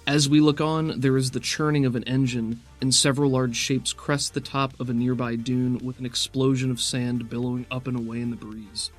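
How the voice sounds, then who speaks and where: A man runs a tabletop game as game master, narrating scenes in a measured, cinematic way. His voice sits at a middle pitch, with faint music underneath. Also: steady tone.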